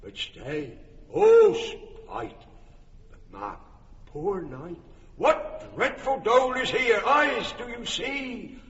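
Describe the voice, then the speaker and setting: An overblown tragic lover who declaims every line in a raised, slow voice. The recording is dull and carries a long hall reverb. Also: male voice, very high voice, a bit bright, full character voice. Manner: bombastic, overacted declaiming. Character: male workman playing Pyramus, hammy tragic lover. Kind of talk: classic radio drama.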